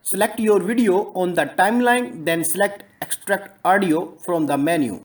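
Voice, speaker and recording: A man's voice: sits high, moderate pace. A man hosting a scripted how-to tutorial, with an upbeat, formulaic presenter delivery. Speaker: tutorial host. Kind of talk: scripted software tutorial narration.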